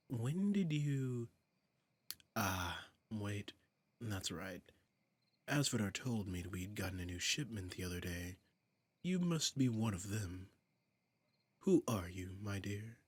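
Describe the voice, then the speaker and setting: A man playing a flirtatious, commanding demon prince in an intimate roleplay audio drama, silky, teasing and imperious, with a breathy voice that often drops toward a whisper. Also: fairly low pitch, no echo. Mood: curious, teasing.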